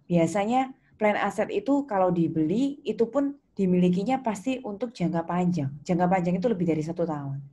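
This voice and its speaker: Lower-pitched Indonesian woman lecturer. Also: lively intonation, fairly quick, mellow, a little hiss or hum. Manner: conversational, checks understanding with questions. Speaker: accounting lecturer. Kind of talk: informal explanatory lecture to students.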